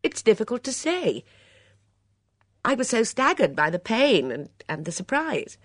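A woman voicing a composed, brisk older lady of the house in an audiobook, firm and matter-of-fact. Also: fairly high pitch, sing-song, no echo, studio quality, pitched above the performer's own voice. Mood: shaken, precise. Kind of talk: audiobook character dialogue.

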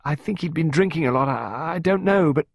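A man's voice: fairly quick, vocal fry, slightly rough, no echo. A man voicing a distraught young girl in an audiobook, pleading and close to tears, with desperate outbursts. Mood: anguished. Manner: crying, shrill outbursts.

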